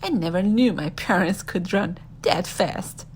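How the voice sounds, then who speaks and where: A woman reading a first-person children's story aloud in an expressive, animated storytelling manner. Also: lowish voice, a little slow, a little hiss or hum.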